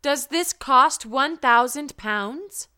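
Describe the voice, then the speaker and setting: A woman's voice: high voice, slow, sharp tone. A woman reading short practice sentences for language learners, carefully enunciated. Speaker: language teacher. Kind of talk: slow drill sentences for learners.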